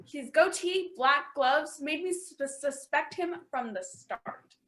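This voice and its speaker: A woman playing a brisk, matter-of-fact space scientist heroine in a campy sci-fi radio serial. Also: high voice, a bit bright. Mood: brisk, assured. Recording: roomy, echoing voice recording.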